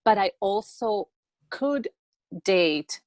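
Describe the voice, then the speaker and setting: A young woman from Tanzania answering interview questions on a video call in an earnest, halting way that often repeats words. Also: medium pitch, lively intonation, no room sound. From born in Tanzania. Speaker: interview guest, TikTok educator.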